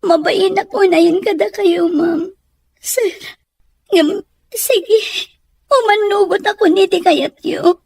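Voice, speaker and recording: A woman's voice: very high-pitched, takes it slowly, pauses often, a little mellow, no room sound, clean studio sound, heavily acted. A woman playing a meek young household helper in a radio-style drama, deferential and pleading. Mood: tearful, humble.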